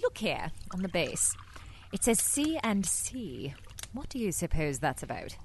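An actress playing a cool, witty British spy in a spoof radio drama, arch and teasing with a British accent. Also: female voice, on the low side, sing-song, measured pace, breathy edge, exaggerated voice. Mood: arch, curious.

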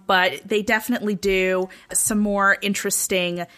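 Bright-voiced woman chatting on a podcast. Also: mid-range voice, level tone, moderate pace. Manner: chatty, enthusiastic. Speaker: podcast panelist. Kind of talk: casual podcast chat.

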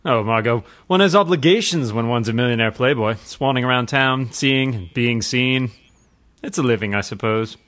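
A man's voice: medium pitch, normal speed, fairly bright tone, lightly acted. A man playing a suave, pompous playboy who is secretly a mysterious crimefighter, in theatrical old-time radio parody style. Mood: bored, arch. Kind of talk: parody of 1940s radio drama.

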